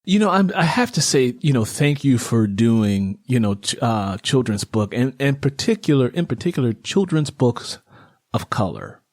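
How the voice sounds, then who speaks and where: A man in his fifties hosting a conversational interview, reflective and halting as he works out his phrasing. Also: medium pitch, sing-song, a little mellow, no room sound, clean studio sound. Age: 50s. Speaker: interview host.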